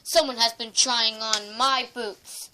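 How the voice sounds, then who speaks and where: A female reader voicing an indignant big papa bear, declaiming loudly in a children's storybook. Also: a little high, steady tone, slow pace, noisy background.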